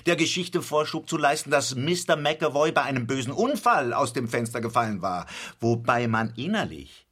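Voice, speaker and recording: A measured, self-assured male detective. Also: medium pitch, sing-song, fairly bright tone, breathy edge. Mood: matter-of-fact. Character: self-assured male detective, coolly logical, explaining his case. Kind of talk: radio drama acting, explanatory monologue.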